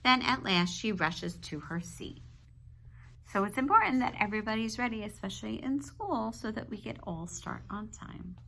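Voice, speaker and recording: A woman's voice: medium pitch, animated, moderate pace, gravelly, sharp tone, low-quality recording. Woman reading aloud to young children. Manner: instructive, addressing young children with check-in questions. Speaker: classroom teacher reading a children's book aloud. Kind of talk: children's read-aloud with simple explanatory asides.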